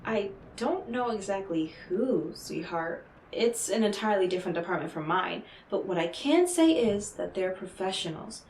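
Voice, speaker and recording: Woman acting as a mysterious otherworldly lady dealmaker, coaxing n knowing, dramatic pauses, echoey room w noticeable noise. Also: mid-range voice, expressive, a little mellow, natural voice. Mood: amused, explanatory. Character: enigmatic, smooth-talking female stranger brokering otherworldly deals. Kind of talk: scripted audio roleplay monologue addressed to the listener. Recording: roomy echo, clearly noisy background.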